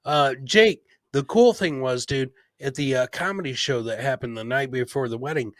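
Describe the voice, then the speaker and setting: A man's voice: mid-range voice, normal speed, no echo. A man hosting a casual livestream podcast, bantering informally with some swearing and lots of "man" and "brother". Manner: informal, profane, says "man" and "brother" often. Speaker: podcast host.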